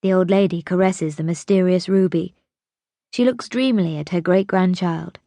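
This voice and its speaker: A woman reading an audiobook as narrator, telling the story expressively in a measured, dramatic way. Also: on the low side, warm tone, no room sound, studio quality.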